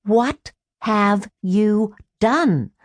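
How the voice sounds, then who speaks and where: A fed-up woman shop owner with a dry, cutting tongue in an audiobook, as the reader plays her. Her voice swings up and down in pitch, and it is recorded close and dry. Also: expressive, extremely slow, dry recording. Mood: exasperated.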